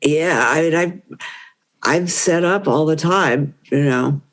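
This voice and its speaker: An older woman talking casually as an interview guest, halting and hesitant, a little self-deprecating. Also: sits low. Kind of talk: casual conversation.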